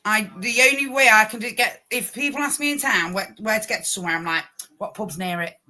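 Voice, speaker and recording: A woman's voice: mid-range voice, a little fast, bright, forceful tone. A woman from Nottingham with an East Midlands accent, co-hosting a podcast in casual, joking chat and correcting herself as she goes. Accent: English East Midlands. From Nottingham, England. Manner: chatty, self-correcting, joking. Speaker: podcast co-host.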